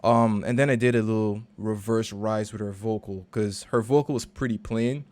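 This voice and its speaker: A man, a recording and mixing engineer, casually walking through a session in a relaxed, slangy, conversational way. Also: lowish voice, normal speed, dry recording. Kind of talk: casual screen-share walkthrough.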